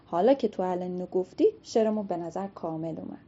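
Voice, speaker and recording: A woman voicing a group of kindly magical old women in a told folktale, chattering quickly. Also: fairly low pitch, warm tone, highs cut off, lightly acted.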